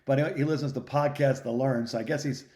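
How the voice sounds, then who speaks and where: A man's voice: medium pitch, steady tone, fairly quick, warm tone, echoey room, slight background noise. A middle-aged man co-hosting a podcast over a recorded phone call, chatting casually in a reflective, plain-spoken, jokey way. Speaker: podcast co-host.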